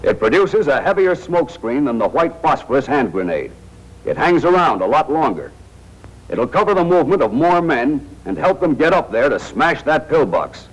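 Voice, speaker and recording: An American man narrating a 1940s military training film in a tough, wry, slangy manner, speaking directly to soldiers. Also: a little high. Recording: noisy 1940s film soundtrack.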